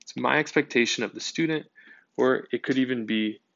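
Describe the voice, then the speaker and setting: A male teacher narrating a whiteboard lesson in a steady, explanatory way. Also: mid-range voice, a little fast, vocal fry, no room sound, muffled recording.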